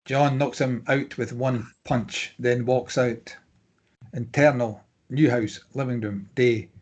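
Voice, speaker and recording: A man's voice: medium pitch, fairly even intonation, takes it slowly. A man reading out screenplay stage directions as scripted narration.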